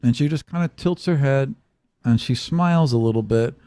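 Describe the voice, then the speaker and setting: A man's voice: mid-range voice, moderate pace, mellow, clean tone, dry recording. A man running a tabletop game as game master, improvising narration in a casual way.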